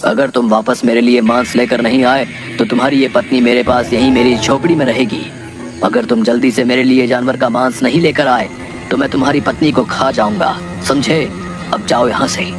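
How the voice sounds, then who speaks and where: A man's voice: mid-range voice, steady tone, normal speed, music in the background, natural voice. Man voicing a gloating man-eating forest ogre. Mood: menacing, commanding. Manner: menacing, commanding, gloating over food. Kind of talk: acted children's moral story.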